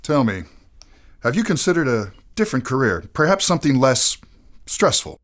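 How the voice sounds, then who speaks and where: Radio drama doctor played by a male actor: avuncular, unworried, gently patronizing, animated melody in a middle register. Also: medium pitch, moderate pace, lightly acted. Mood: kindly advising. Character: calm, reassuring, mildly dismissive male family doctor. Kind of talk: mid-century radio play acting.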